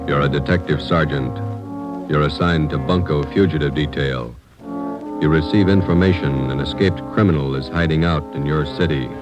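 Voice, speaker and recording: An American man giving a solemn, deliberate scripted introduction to a mid-century radio drama. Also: a bass voice, music in the background. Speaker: radio show announcer.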